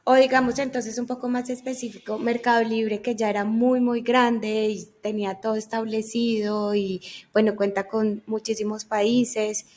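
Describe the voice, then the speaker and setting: A woman hosting an interview, her voice a little higher than most. She asks her questions in a friendly, encouraging way, in casual conversation. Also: a little mellow. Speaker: interview host.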